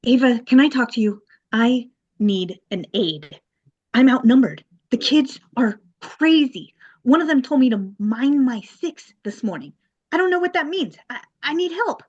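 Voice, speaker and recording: A woman's voice: high voice, animated, unhurried, darkish tone, highs cut off. A woman playing a frazzled, overwhelmed teacher pleading for help in a sitcom table read. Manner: pleading, agitated.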